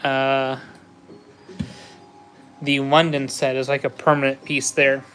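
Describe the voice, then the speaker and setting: A man's voice: medium pitch, flat delivery, slow, fairly bright tone, faint music behind, low-quality recording. A man chatting casually with viewers while building a Lego set on a livestream, easygoing and laughing often. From USA. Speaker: livestream host.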